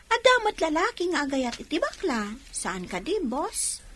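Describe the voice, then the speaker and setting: A woman's voice: high voice, big pitch swings, normal speed, a bit bright, slight background noise. A young woman playing a playful, cheerful character in a radio drama.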